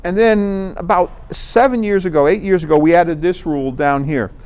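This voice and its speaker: An American man giving an informal presentation, explaining things conversationally and pausing to rephrase. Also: a little high, a little mellow, clear, resonant tone. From Connecticut, United States. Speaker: amateur radio contest organizer, presenter. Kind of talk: informal club presentation with slides.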